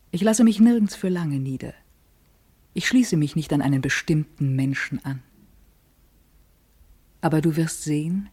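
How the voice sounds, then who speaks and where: An actress playing a restless, proud, solitary woman in her thirties in a literary radio monologue, intimate and deliberate, with a breathy voice. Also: sits low, dark tone.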